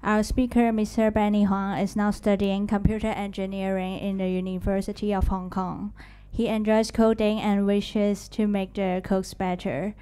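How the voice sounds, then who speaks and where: Young female session host, mellow clear voice, measured formal intro at a podium. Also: mid-range voice, level tone. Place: lecture hall. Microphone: podium mic.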